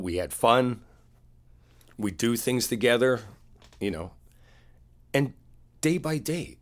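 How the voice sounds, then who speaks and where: A man playing an earnest, wounded boyfriend in an intimate roleplay, speaking in a halting, confiding way. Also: deep voice. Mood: fond, nostalgic.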